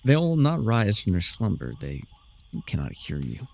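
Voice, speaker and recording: Smug gravelly young villain played by a man. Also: lowish voice, huge pitch swings, moderate pace, a little mellow, exaggerated voice. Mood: mocking, amused. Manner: theatrical, teasing, drawling with dry mockery. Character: smug, sardonic young dark sorcerer villain, teasing and urbane. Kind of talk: ASMR fantasy roleplay voice acting.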